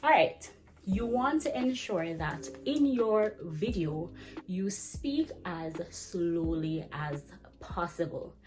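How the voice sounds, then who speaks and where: Fast-talking woman, lowish voice. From Jamaica. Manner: chatty, fast-talking, animated with hand gestures. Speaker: vlogger and ESL teacher. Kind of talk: casual advice vlog. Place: indoors, small room with plain wall. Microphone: camera framing head to chest.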